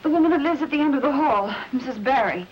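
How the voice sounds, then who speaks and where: An actress playing a fretful, inquisitive young wife and amateur sleuth in an old-time radio mystery. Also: female voice, expressive, fast talker, a little hiss or hum. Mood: shocked, curious. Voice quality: high-pitched. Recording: muffled old radio broadcast, music.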